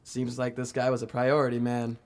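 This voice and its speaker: An actor playing an earnest, breezy private investigator with clipped detective patter in an old-time radio drama. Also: male voice, mid-range voice, monotone, fairly quick, a little mellow, lightly acted. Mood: earnest, alert.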